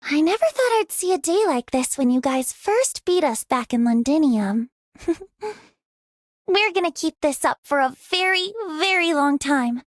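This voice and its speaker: A squeaky high playful game girl, friendly n chirpy, real dry sound. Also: animated, measured pace, no room sound. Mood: cheerful. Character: playful, upbeat, friendly young girl comrade. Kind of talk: video game character voice lines.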